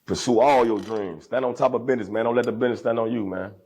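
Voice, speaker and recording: Male interviewee, deep voice, aggressive street delivery, mid pitch. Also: fast talker, breathy edge. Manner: forceful, speaks with aggression.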